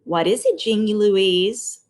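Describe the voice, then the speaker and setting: A woman's voice: mid-range voice, lively intonation, slow pace, darkish tone. A woman voicing a prim, impatient female schoolteacher in a storybook. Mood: puzzled.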